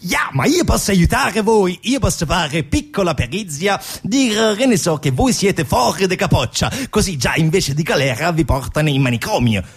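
Pompous foreign schemer, raised pitch, rough-edged, broken grammar, eager bargainer in satirical stage comedy. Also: male voice, big pitch swings, normal speed, hardly any pauses, exaggerated voice. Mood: scheming, insistent. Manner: broken grammar, dropped articles. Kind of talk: satirical theatre sketch for radio.

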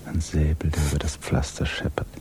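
A man narrating a 1950s radio drama in a hushed, measured, grave and restrained manner. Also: extremely low pitch, flat delivery, whispered. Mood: measured, solemn. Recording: noisy 1950s radio recording.

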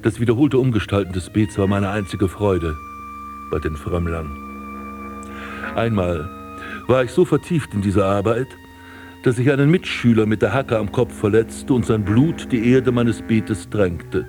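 An actor playing a vain, scornful prince and landscape gardener in a radio drama, speaking in pompous, ornate declamation. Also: male voice, fairly low pitch, a little slow, music in the background, lightly acted. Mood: self-satisfied. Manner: pompous, declamatory, ornate old-fashioned diction. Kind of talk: literary radio drama acting, monologue and dialogue.

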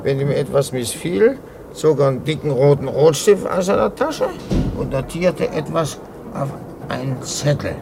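An actor playing a dry, incorruptible police inspector in a 1950s German radio play, deliberate and matter-of-fact, with a creaky voice. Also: male voice, a little high. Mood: brisk, recounting. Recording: noisy 1950s radio recording.

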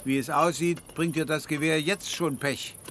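An actor playing a mocking, unrepentant warrior in a Western radio drama, with a nonchalant, taunting delivery. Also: male voice, a little high, steady tone, normal speed, fairly bright tone, a little hiss or hum, close to an everyday voice.

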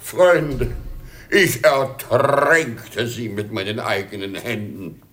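An actor playing a sorrowful, whining old emperor and doting father in a theatrical German radio play, with sighing, drawn-out delivery. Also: medium pitch, a bit bright. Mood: lamenting.

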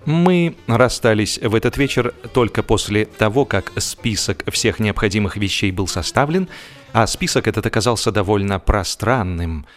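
Expressive male storyteller, slightly low voice. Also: moderate pace, soft background music. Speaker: audiobook narrator. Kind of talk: expressive scripted narration of humorous fiction.

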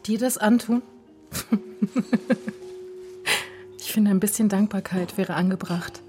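An actress playing a self-assured, provocative film star in a German radio drama, teasing and cool, sometimes near a whisper. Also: female voice, medium pitch, takes it slowly, warm tone, faint music behind, close to an everyday voice. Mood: worldly, defiant.

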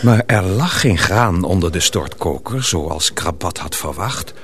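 A man narrates a story for a radio play in a very low voice. His delivery is measured yet highly expressive in pitch, with faint noise and ambience behind him.

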